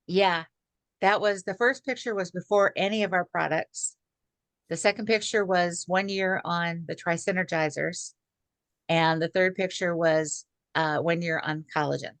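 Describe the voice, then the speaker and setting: A woman in her sixties talks casually on a video call, leaning on "um" fillers. Her voice sits low. The sound is dry and close. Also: a little slow, no echo. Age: 60s. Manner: chatty, many "um" fillers. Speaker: guest speaker.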